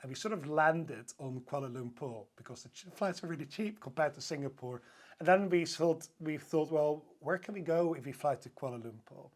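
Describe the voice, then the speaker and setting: A man's voice: fairly high pitch, expressive, normal speed. A man with a Dutch accent, chatting eagerly as a podcast guest with lots of fillers and restarts. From Netherlands. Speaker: hotel sales director, podcast guest. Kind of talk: casual interview chat.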